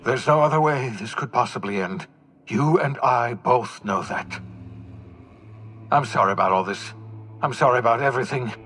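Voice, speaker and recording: An actor playing a despairing, defiant older fugitive scientist in a video game, theatrical and swinging from bitter to pleading. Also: male voice, medium pitch, normal speed, long pauses, fairly bright tone, soft background music, lightly acted. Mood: remorseful, broken. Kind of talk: dramatic video game acting.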